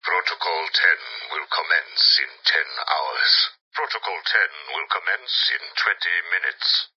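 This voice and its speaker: An actor playing a cold, authoritarian villain who runs a prison city, making measured, formal, menacing announcements over a loudspeaker in a video game. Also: male voice, a bass voice, distant mic, full character voice. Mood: cold, official. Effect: public-address loudspeaker. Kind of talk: scripted public-address announcements. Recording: muffled, echoing loudspeaker with long reverb.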